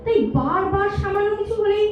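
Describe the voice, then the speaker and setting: A woman's voice: very high voice, brisk. A woman playing an aggrieved, sarcastic girlfriend in a melodramatic stage audio drama. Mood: accusing. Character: hurt, accusing girlfriend in a long relationship. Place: multipurpose hall stage. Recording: distant echoey hall, dull, faint noise.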